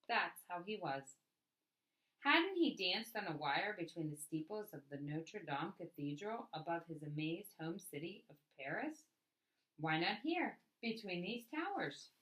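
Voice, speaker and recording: A woman reading a picture book aloud as a storyteller, with expressive narration and dramatic emphasis on key words. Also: lowish voice, poor audio.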